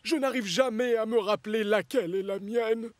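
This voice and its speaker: A man's voice: high voice, normal speed, dry recording, natural voice. A man voicing a timid, anxious, apologetic little tram engine in a cartoon, hesitant and flustered. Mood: worried, confessing. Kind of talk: French cartoon dub acting.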